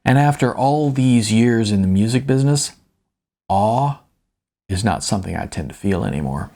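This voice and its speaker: A man narrating a first-person story in a wry, colloquial, emphatic storytelling manner, with a slightly husky voice. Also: on the low side, lively intonation, moderate pace, warm tone. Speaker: author reading own novella.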